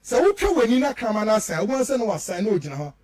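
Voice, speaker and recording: Ghanaian guy arguing politics on radio, swingy high pitch, really emphatic, repeats himself, mixes languages. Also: sing-song, rapid-fire, darkish tone. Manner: emphatic, repetitive, rhetorical. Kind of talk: animated political commentary mixing two languages.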